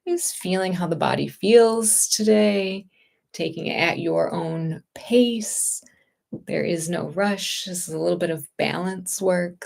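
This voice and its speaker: A woman meditation teacher with a lowish voice that carries a creaky edge. She guides gentle movement and stillness very slowly, leaving plenty of room between phrases, with a reassuring, unhurried manner.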